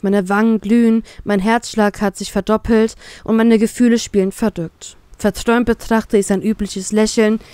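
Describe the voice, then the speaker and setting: A woman's voice: medium pitch, mellow, clear, resonant tone, no room sound. A woman narrating a scripted first-person story as an audiobook. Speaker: narrator of a fan fiction story.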